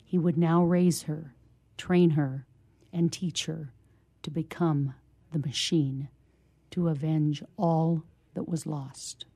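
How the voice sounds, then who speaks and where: A woman guest reading a short story aloud in a measured narrative style. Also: low voice, fairly even intonation, dark tone. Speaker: guest reader.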